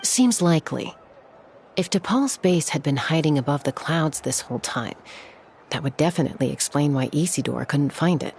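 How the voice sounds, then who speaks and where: A woman playing a composed, confident young flying expert in a video game, speaking in a measured way. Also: low voice, animated, a little mellow, a little hiss or hum. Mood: thoughtful. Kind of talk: video game character dialogue.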